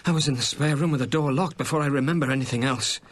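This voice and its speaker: An actor playing an anxious, scholarly English gentleman in a radio drama, hesitant and stammering in his politeness. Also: medium pitch, fast, natural voice. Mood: frightened.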